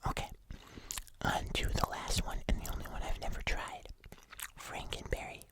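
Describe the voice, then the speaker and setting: An ASMR host speaking entirely in a soft, unvoiced whisper, chatting casually through a food review. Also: normal speed.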